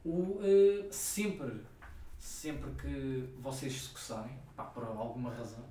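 A man's voice: mid-range voice, takes it slowly, dark tone. A young man with a European Portuguese accent bantering casually as a podcast co-host, in slangy, rambling talk. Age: young adult. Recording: echoey room, distant mic, faint noise.

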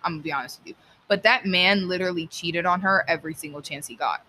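Young woman, podcast host, forceful bright voice, blunt, emphatic, casual opinionated talk, swears freely. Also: on the low side, quick pace, a little hiss or hum, low-quality recording. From Cuban background. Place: outdoors.

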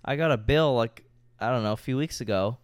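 A man's voice: mid-range voice, a little fast, clean tone, no room sound. A young man chatting casually as a podcast co-host, talking informally and swearing freely. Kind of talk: casual chat.

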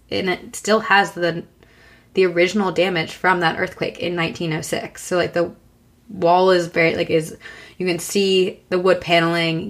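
A woman co-hosting a podcast in casual chat, hoarse from a long cough and talking with many fillers and restarts. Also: on the low side. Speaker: podcast co-host.

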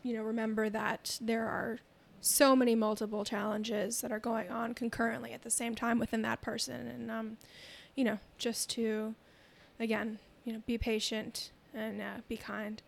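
Woman, podcast guest, thoughtful and hesitant, fairly high voice. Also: little pitch movement, normal speed, creaky. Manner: thoughtful, many fillers and soft restarts. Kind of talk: casual chat.

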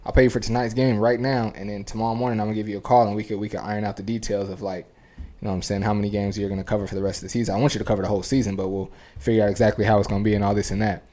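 A man co-hosts a laid-back podcast, rattling through stories with plenty of "like" and "you know". His voice sits fairly low and sounds dark and mellow. He talks fast and loose. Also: little pitch movement. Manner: fast, rambling storytelling with many fillers. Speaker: podcast co-host. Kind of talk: casual chat. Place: home podcast studio.

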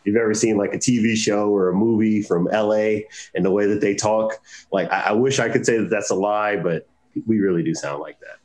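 A man from Southern California with a light Southern California accent, co-hosting a podcast in a relaxed, earnest way. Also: fairly low pitch, a little fast. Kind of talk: casual podcast chat.

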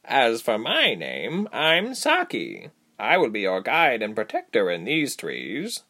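A man voicing a blunt, protective female beast warrior in a storybook, speaking slowly and deliberately. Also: medium pitch, huge pitch swings, sharp tone, full character voice. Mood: blunt, commanding. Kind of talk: audiobook character voice.

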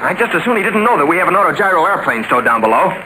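A take-charge young all-American hero in a 1940s radio serial. He talks fast and decisively, with a highly animated male voice, over a noisy old recording. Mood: angry, commanding.

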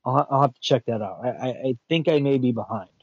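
A man's voice: mid-range voice, quick pace, no echo. A man hosting a casual pop-culture chat, rambling, restarting and repeating his words and chuckling as he goes. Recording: dull lo-fi dry sound.

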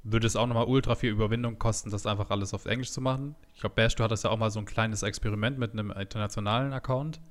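A man's voice: fairly low pitch, steady tone, rapid-fire, hardly any pauses. A man co-hosting a casual podcast, throwing in dry, teasing quips. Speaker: podcast co-host.

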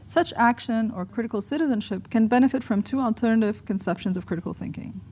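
A woman from Egypt reads a scripted essay she wrote. Her voice sits a little high, with a mellow, dark-edged tone. The delivery is that of an academic author narrating written prose. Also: quick pace, slight background noise.

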